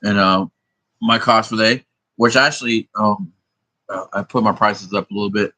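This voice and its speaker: Guy with a husky lowish voice rambling, swearing a lot, mutters through math out loud. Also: fairly even intonation, normal speed. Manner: profane, meandering, thinks aloud while doing sums. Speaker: vlogger. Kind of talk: rambling monologue.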